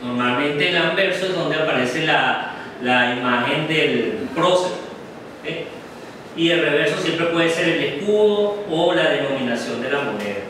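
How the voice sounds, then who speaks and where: A man's voice: a little high, animated, fairly bright tone. A middle-aged man gives a slide presentation in a classroom, talking unhurriedly and often checking in with "ok?". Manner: unhurried, many "ok?" checks with the audience. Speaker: guest lecturer. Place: darkened classroom with projector screen. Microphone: far camera. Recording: very echoey room from far off, noisy.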